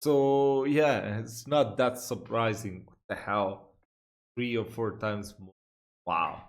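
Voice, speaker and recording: A man's voice: fairly low pitch, slow. A young Italian man talks casually on a headset mic in a small room at home. He rambles, trips over his words, corrects himself and laughs at his own asides. Italian is his first language. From Italy. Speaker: reaction video host. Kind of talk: casual reaction chat.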